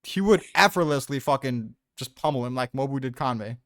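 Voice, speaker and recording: A man's voice: mid-range voice, animated, fairly quick, a bit bright, no room sound, studio quality. A man in a casual debate, arguing in profane, slangy speech.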